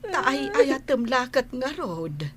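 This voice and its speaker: An actress playing a mother consoling her daughter in a radio drama, with a soothing, drawn-out delivery. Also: sits high, sing-song, a crowd in the background, exaggerated voice. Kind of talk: Ilocano radio drama acting. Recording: loud music under the voice.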